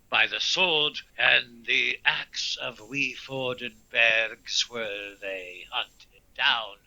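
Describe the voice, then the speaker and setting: Radio play baron: proud merciless fanatic, hard bright edge, gravelly, formal and imperious. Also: male voice, medium pitch, full character voice, low-quality recording. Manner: pompous, imperious, formal archaic speech. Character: proud, zealous, ruthless baron and hunter of the unholy. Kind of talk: radio drama acting.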